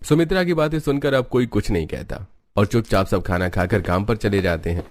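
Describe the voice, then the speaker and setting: A man's voice: lowish voice, animated, a little fast. A man narrating a scripted cartoon family story as a storyteller. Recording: music behind the voice. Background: music.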